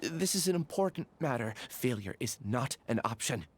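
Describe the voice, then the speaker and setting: A man voicing a shaken, frightened young male researcher in a video game, talking urgently and haltingly, over game music. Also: mid-range voice, animated, a little hiss or hum, natural voice. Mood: desperate. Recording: game audio under music.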